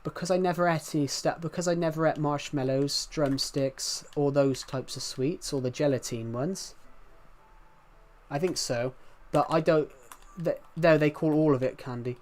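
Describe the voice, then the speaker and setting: A man's voice: on the high side, steady tone, moderate pace, darkish tone. A young man from the UK with a thick regional British accent, chatting casually and rambling with his live stream viewers. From United Kingdom. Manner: rambling, many restarts, chatty and candid.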